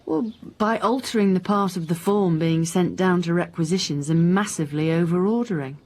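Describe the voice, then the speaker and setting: A woman acting a dry, sceptical police inspector in a radio drama, questioning in a measured, pointed way. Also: lowish voice, a little mellow. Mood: businesslike, probing. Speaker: actor in radio crime drama.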